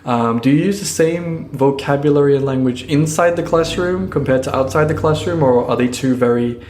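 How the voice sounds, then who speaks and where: A man's voice: medium pitch, fairly quick, darkish tone. A man co-hosting a teaching podcast, chatting casually and affirming the guest with frequent "yeah"s. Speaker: podcast co-host. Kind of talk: casual interview chat. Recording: echoey room.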